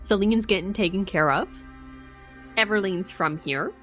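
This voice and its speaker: A woman with a big voice playing a tabletop game, skeptical and quick to cut in. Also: mid-range voice, a bit bright, soft background music. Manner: big voice, skeptical, talks over others. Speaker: player. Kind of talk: casual tabletop roleplay.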